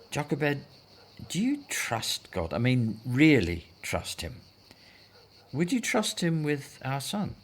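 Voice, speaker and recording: A man's voice: mid-range voice, slightly rough, heavily acted. An actor in a scripted audio drama playing a devout Hebrew husband and father, earnest and persuasive.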